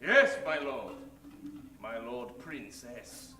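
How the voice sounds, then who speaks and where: Dim obedient henchman, slow and swoopy, radio play comedy, roomy off mic. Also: mid-range voice, sing-song, bright, forceful tone, far from the mic, heavily acted. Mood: servile. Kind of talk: improvised old-time radio comedy acting. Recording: roomy stage sound, off-mic, music behind.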